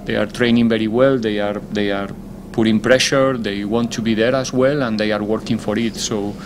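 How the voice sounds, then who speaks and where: A man, a football head coach, answering press conference questions in a measured, deliberate way, often pausing to find his words. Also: on the low side, steady tone, noisy background.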